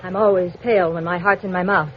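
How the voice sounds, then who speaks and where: An actress playing an evasive, sharp-tongued woman in trouble, with a brusque vintage radio noir delivery. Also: lowish voice, fairly even intonation, fast talker, a little hiss or hum, lightly acted. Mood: brusque, guarded. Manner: urgent, brusque wisecracks. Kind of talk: 1940s-50s radio noir acting. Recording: muffled vintage radio recording.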